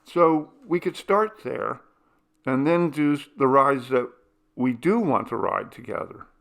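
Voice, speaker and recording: A man's voice: mid-range voice, expressive, a little slow, gravelly, fairly bright tone, pitched above the performer's own voice. A man doing a sensible teenage girl, lightly raised. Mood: matter-of-fact. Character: practical, careful teenage girl, the sensible twin. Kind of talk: storybook character voice.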